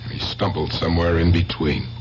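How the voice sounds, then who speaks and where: A man's voice: deep voice, fairly even intonation, fairly quick, natural voice. An actor playing a grieving, troubled man, somber and doubting, in a radio drama. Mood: mournful, doubting. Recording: dull old radio recording, faint noise.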